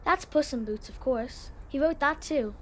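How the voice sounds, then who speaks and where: A woman's voice: high-pitched, normal speed, a little hiss or hum, natural voice. An actress playing an eager, bookish young schoolgirl in a children's audio drama. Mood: friendly, keen. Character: eager, bookish young schoolgirl who loves fairy tales.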